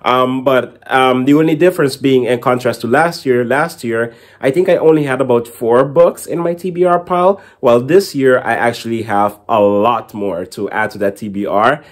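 Young male book vlogger, mid-pitched voice, casual and a little rambling, speaking to camera at home. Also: moderate pace. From Caribbean. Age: young adult. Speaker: book reviewer and channel host. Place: small indoor room at home with bookshelf.

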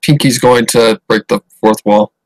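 A man hosts a gameplay livestream at a mid-range pitch, talking over the game in a loose, giggly way. His voice sounds dry and close to the mic, with no room around it. He comments on each line of on-screen dialogue as it comes up. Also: fairly even intonation, normal speed, dry recording. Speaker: livestream host playing a game.

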